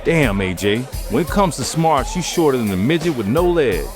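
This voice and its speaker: An actor in an audio drama playing a shrewd, domineering gang boss with slangy street talk and corporate airs. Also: male voice, medium pitch, big pitch swings, normal speed, over music, natural voice. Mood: angry.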